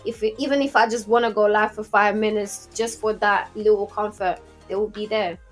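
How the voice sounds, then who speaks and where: A woman in her twenties who comes from Portugal talks in a casual, slangy way as a guest on a gaming podcast. Her pitch sits in the middle for a woman. Music plays behind her voice. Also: fairly even intonation, brisk. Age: 20s. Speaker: podcast guest and game streamer. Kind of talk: casual interview chat. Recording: music playing behind the talk.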